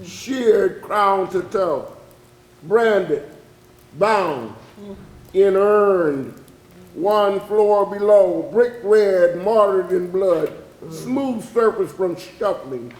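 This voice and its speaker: A man performing an anguished witness for enslaved captives, reciting dramatic verse in an intense, incantatory way with drawn-out emphatic words. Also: high-pitched, expressive, a little mellow, heavily acted. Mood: anguished, grim. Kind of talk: dramatic spoken-word poetry recitation, readers theatre. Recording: echoey room on stage.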